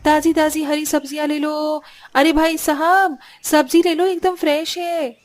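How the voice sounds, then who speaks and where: A woman's voice: high voice, flat delivery, measured pace, pitched above the performer's own voice. A woman voicing a poor, earnest young daughter-in-law in a cartoon family story. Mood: calling out. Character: young poor daughter-in-law, anxious, sensible and plain-spoken.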